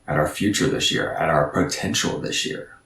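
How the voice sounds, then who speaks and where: A man's voice: extremely low pitch, moderate pace, fairly bright tone, roomy sound, far from the mic. A man in his thirties giving an earnest, reflective motivational talk as a podcast guest, often repeating words as he thinks. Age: 30s.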